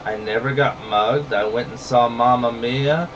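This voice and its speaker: A man with a Southern US drawl delivers a blunt, heated rant in a slow, deliberate way. He sits a little back from the microphone. A faint hum runs underneath. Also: mid-range voice, fairly even intonation, fairly bright tone, recorded from a distance, lo-fi sound. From rural southern United States. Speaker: vlogger. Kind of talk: ranting monologue to camera.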